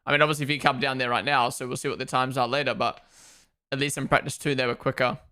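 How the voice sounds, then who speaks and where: Young male streamer, Kiwi-Aussie accent, bright and crisp, casual live chat. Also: medium pitch, steady tone. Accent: mixed New Zealand and Australian. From New Zealand. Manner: chatty, quick asides, reacts loudly to on-track moments. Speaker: streamer hosting a watchalong.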